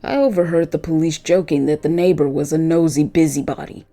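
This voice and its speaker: A loyal, vengeful dog voiced by a narrator tells his story in the first person. The voice is soft-edged and gravelly, with deliberate dramatic phrasing over faint music. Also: mid-range voice, steady tone, lightly acted. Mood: recounting.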